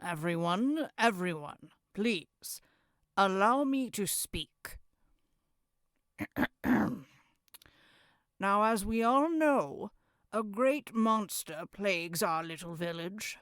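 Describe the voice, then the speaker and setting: A woman playing a solemn, self-important village elder, speaking formally and deliberately in a horror roleplay audio drama. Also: mid-range voice, fairly bright tone, dry recording, studio quality. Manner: formal, deliberate, throat-clearing.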